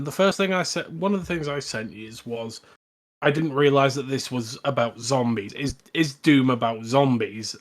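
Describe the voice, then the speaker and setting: A man chatting casually as a podcast panellist, giving opinions in an informal discussion. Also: mid-range voice.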